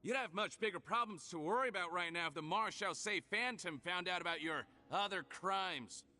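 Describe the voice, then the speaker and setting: A man's voice: high voice, moderate pace, bright, forceful tone, full character voice. A man playing a cynical, self-serving escaped convict in a video game, talking in a sardonic, condescending manner. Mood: defensive, cold. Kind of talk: video game dialogue acting.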